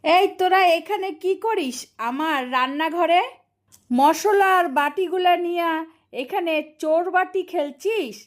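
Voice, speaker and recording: A woman's voice: extremely high pitch, unhurried. A woman voicing an exasperated aunt who scolds and complains in exaggerated cartoon dialogue. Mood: scolding.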